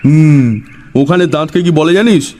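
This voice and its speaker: A man's voice: a little high, unhurried, dark tone, pitched above the performer's own voice, lightly acted. A man voicing an eccentric, half-crazy village man who quizzes his listener in an emphatic, knowing, teasing way in a dramatised story.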